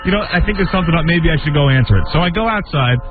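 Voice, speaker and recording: A man telling a casual personal story on radio, halting and repeating phrases. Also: on the high side, lively intonation. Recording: tinny narrow-band radio, faint music behind.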